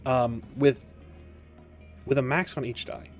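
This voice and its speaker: A man acting as game master, improvising narration with deliberate, dramatic phrasing and pauses. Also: mid-range voice, steady tone. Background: faint music.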